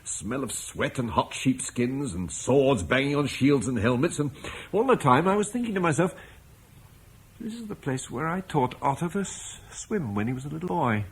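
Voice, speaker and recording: Man acting a troubled Roman landowner, soft-spoken, lively pitch, faint hiss, old radio play. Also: normal speed, natural voice, poor audio. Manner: reflective, soft-spoken, haunted. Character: weary Roman landowner father, gentle, troubled. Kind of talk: vintage radio drama acting.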